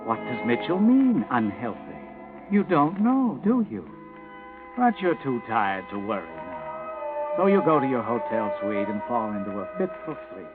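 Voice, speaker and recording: A man's voice: mid-range voice, wildly swooping pitch, a little slow. Mocking, ominous male storyteller, vintage radio mystery, tinny sound with prominent music. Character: sardonic, taunting omniscient male narrator of a crime story. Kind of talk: 1940s radio drama narration, second person. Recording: thin, phone-like old radio recording.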